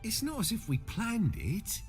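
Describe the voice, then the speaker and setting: An actor playing an anxious, hesitant shapeshifter in a video game, fretful and full of questions. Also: male voice, high-pitched, wildly swooping pitch, unhurried, full character voice. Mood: rueful. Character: anxious, hesitant male shapeshifter, meek and excitable. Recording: reverberant, with music behind.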